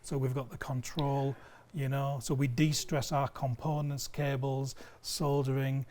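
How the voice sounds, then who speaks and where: A man's voice: medium pitch, level tone, unhurried, a little mellow. A British man in his fifties explaining a product as a sales representative, enthusiastic, often repeating words as he goes. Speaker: company sales representative, guest. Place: indoor audio showroom. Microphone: lapel mic.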